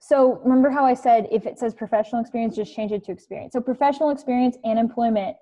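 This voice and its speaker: A woman's voice: fairly high pitch, fast, warm tone, highs cut off. Chatty young woman coaching over video. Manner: chatty, many fillers like "like" and "um". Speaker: career coach. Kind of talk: casual online presentation. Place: home living room. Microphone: earbud headset on video call.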